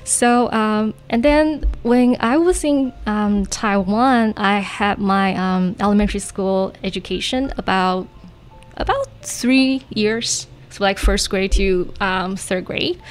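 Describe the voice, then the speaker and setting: A woman's voice: medium pitch, takes it slowly, soft background music. A woman in her thirties who grew up in Canada, a guest English teacher chatting on a radio interview with many fillers and laughs. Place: radio studio.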